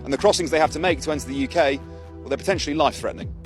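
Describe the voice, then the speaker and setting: A male reporter reading a scripted news report in a measured, pointed way. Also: on the high side, soft background music.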